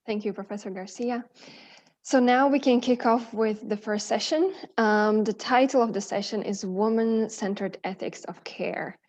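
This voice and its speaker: A woman's voice: a little high, moderate pace, a little mellow. A woman acting as session moderator, formally introducing a speaker at an academic event. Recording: dull, lo-fi audio.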